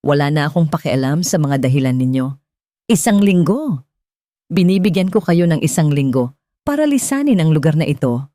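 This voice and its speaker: A woman with a Filipino accent voicing a gruff, threatening male landlord in a story, shouting harsh demands. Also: sits low, sing-song, normal speed, no echo, studio quality. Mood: angry, threatening.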